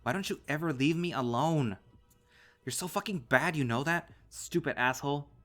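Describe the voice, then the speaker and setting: A man voicing an angry, defiant animal boy, wounded and desperate, with foul-mouthed shouted outbursts in an audio story. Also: mid-range voice, animated, moderate pace, voice pushed up.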